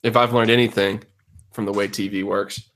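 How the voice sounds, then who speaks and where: A man's voice: fairly low pitch, flat delivery, fairly quick, a bit bright. A man hosting a comedy podcast in casual banter, joking dryly with a mock-announcer streak. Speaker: podcast host.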